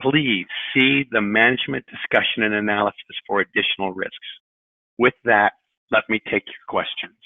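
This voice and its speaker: A middle-aged man speaking as a company chief executive, giving a formal, measured earnings presentation in deliberate phrases. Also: fairly low pitch, no echo. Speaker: company president and CEO. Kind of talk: prepared corporate earnings presentation. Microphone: telephone on a conference call. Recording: narrowband tinny phone line.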